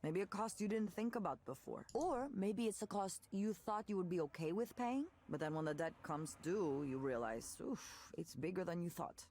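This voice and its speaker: Visual novel role: weary, candid woman, gravelly and expressive, naturalistic delivery. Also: fairly low pitch, moderate pace, hardly any pauses, a little hiss or hum. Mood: frank, reflective. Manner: wry, frank, reflective. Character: Nora, world-weary, candid ex-tech woman turned musician.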